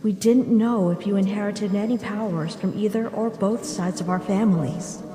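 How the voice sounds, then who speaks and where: A woman voicing a gentle, wistful monster mother back from death, speaking tenderly and reflectively in a dramatic comic dub. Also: mid-range voice, steady tone, normal speed, close to an everyday voice. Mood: gentle, regretful. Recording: reverberant hall echo, music behind.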